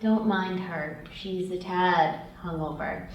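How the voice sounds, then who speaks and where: A woman's voice: on the low side, steady tone, slow pace, slight background noise. A woman reading an easygoing, peacemaking young member of a heist crew at a screenplay table read. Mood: laid-back. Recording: very echoey room, distant mic.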